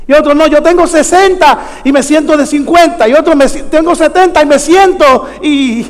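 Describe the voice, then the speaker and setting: A male preacher with a very high-pitched voice delivers a sermon in a hall with long reverb. He exhorts the congregation, repeats key phrases for emphasis and keeps prompting them with questions. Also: moderate pace.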